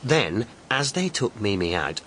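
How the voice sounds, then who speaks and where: An actor playing an earnest, guarded lieutenant in a British radio detective drama, English, clipped and precise. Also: male voice, on the low side, big pitch swings, unhurried, a bit bright. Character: earnest, guarded male lieutenant turned amateur investigator. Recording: clear background noise. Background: ambient noise.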